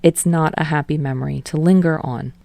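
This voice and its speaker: A woman's voice: low voice, steady tone, a little fast, vocal fry, dark tone, no room sound. A woman reading a fiction story aloud as narrator, in a scripted narration style. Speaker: audiobook narrator.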